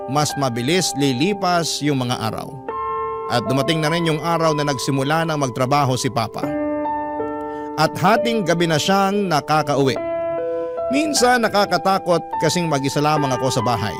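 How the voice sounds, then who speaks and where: A man's voice: a little high, lively intonation, normal speed. A man with a Filipino accent, narrating a first-person story as a radio host reading a letter. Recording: music bed behind the narration.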